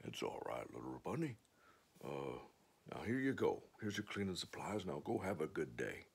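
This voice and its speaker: A big, just-minded storybook bear who speaks in a slow, firm drawl, as played by a man telling the story. The voice is deep, gravelly and husky. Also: sing-song, fairly bright tone, full character voice. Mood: gentle. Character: big male bear with a strong sense of justice. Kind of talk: children's storytelling.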